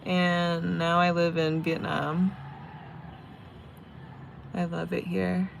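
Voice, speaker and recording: A woman's voice: lowish voice, flat delivery, slow, gravelly. A young woman from San Diego, chatting casually with livestream viewers while she reads tarot and does her makeup. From San Diego, California. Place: home in Vietnam. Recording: faint background noise.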